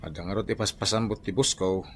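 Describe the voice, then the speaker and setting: A man's voice: low voice, fairly even intonation, quick pace, slight background noise. A man acting a good-natured, hardworking eldest brother in an Ilocano comedy radio drama, with an Ilocano accent. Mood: bashful, playful.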